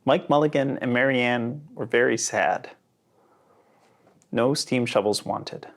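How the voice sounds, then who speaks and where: A man reading a children's picture book aloud in an expressive storytelling manner. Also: medium pitch, measured pace. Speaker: storybook reader.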